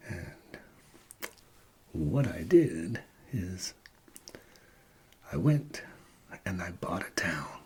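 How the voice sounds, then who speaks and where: A man playing a shady, chatty small-time tax man in a soft-spoken ASMR roleplay, murmuring and rambling hesitantly. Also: sits low, background noise, heavily acted. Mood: quietly boastful.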